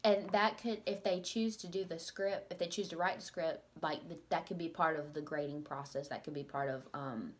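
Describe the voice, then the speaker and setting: A woman's voice: lowish voice, steady tone, moderate pace, few pauses. A young woman co-presenting as a student teacher in a loose, conversational and tentative way. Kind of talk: casual instructional presentation.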